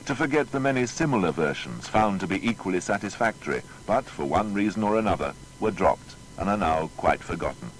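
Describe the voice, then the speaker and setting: A man's voice: expressive, brisk, hardly any pauses, low-quality recording. A man narrating a scripted documentary biography in a formal, storytelling manner. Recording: faint noise with low rumble.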